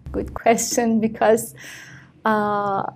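Woman, thirties, Iranian accent, slow, hesitant with fillers, small office. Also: on the high side, level tone. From Iran. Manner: hesitant, many fillers and restarts. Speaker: test candidate. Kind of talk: mock speaking exam answers.

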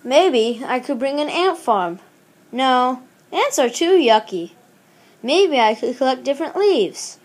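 Woman reading a kids book out loud, high voice, really animated up and down, acts out the talking bits. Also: slow pace. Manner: animated, acts out exclamations and dialogue. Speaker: storybook reader. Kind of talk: expressive read-aloud of a children's picture book.

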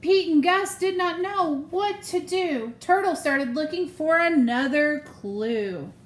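A woman with a high voice reads a picture book to young children. Her delivery is slow and deliberate, with expressive storytelling. The recording is roomy, like a phone in a room at home. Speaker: elementary school staff member reading aloud. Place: small room at home, indoors.

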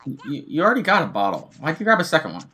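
A man with a hoarse voice from a cold, casually commenting on his gameplay as a let's play host, musing half to himself. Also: on the high side, fast talker. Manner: relaxed, musing half to himself with soft hums.

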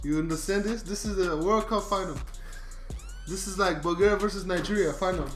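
A man playing a soccer game with a friend, excitably commentating and laughing as he goes. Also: high-pitched, fairly quick, soft background music. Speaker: gaming channel co-host. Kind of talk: casual gameplay commentary. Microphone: desk mic, no camera.

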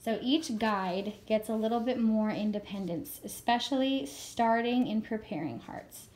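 Hoarse, chatty woman reviewing books. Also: fairly high pitch, unhurried, a little mellow, rough recording. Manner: chatty and enthusiastic, with fillers. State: hoarse from a cold. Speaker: homeschool curriculum reviewer. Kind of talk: casual show-and-tell review. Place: home room with a world map.

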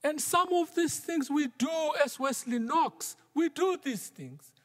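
A middle-aged male minister preaching a sermon from the pulpit, in a measured style that sometimes drops to a near whisper for emphasis. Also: extremely high pitch, fairly bright tone. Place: large wooden church sanctuary, at the pulpit. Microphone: pulpit microphone. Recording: long church reverb.

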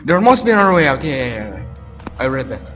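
A man from Romania talks through a horror game in a loud, excitable, joking way. His voice sits a little high, and it trails off into a long reverb. Faint game music plays underneath. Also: quick pace. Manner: loud, excitable, goofy taunting of game enemies. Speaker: let's play gamer. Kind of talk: casual gameplay commentary.

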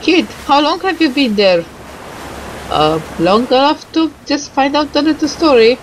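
A woman doing let's play commentary, reading game dialogue aloud haltingly and adding muttered asides. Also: high-pitched, expressive, a little hiss or hum, poor audio.

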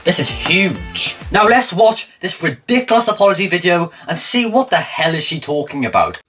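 A man's voice: high voice, sing-song, normal speed, fairly bright tone, slightly rough, faint music behind. A young man doing sarcastic reaction commentary in a small room, filmed by a camera set back from him. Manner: mocking, sarcastic. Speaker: commentary youtuber. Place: small room by a blue wall.

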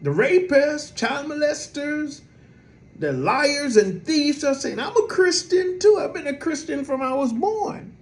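A man with a soft voice preaching a sermon in a lively, conversational way, with many fillers. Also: extremely high pitch, a little slow.

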